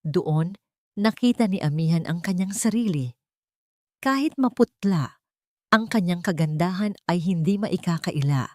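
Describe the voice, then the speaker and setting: A woman's voice: fairly low pitch, lively intonation, unhurried, dark tone, dry recording, studio quality. A woman reading a scripted story as an audiobook narrator, in a dramatic storytelling style.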